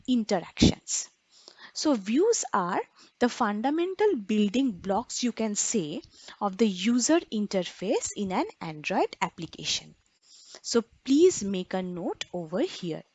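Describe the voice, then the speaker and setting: A woman with a fairly high, very lively voice delivers a technical lecture at an unhurried pace. She is emphatic and didactic, setting up questions and then answering them herself. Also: no echo. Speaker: programming instructor. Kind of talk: explanatory lecture.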